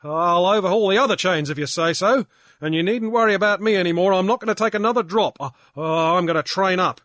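A man putting on a shrill, loud young drunkard. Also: quick pace, no echo. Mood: defiant. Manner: shrill, whining and blustering. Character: young male drunkard, sulky then defiant. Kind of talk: audiobook character voice.

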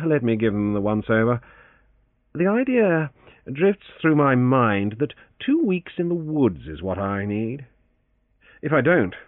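A man's voice: mid-range voice, dry recording, voice pushed up. A man doing a lazy, teasing young heir. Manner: languid, teasing, drawling. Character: young rich idle heir, lazy, bantering. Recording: thin phone-like sound, dry.

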